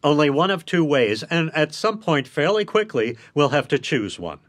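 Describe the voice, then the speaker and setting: Radio drama: measured defence attorney, cautious, deliberate phrasing, lively inflection. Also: male voice, a little high. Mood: cautious, grave. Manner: deliberate, hesitant, reasoning. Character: measured, cautious male defence lawyer advising a distraught family. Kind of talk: naturalistic radio drama acting.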